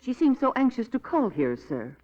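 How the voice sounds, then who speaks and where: An actress playing a deferential, uneasy housekeeper with a meek, formal manner, in 1940s radio drama style. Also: female voice, on the high side, big pitch swings, moderate pace. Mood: meek, nervous. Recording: dull old radio broadcast recording.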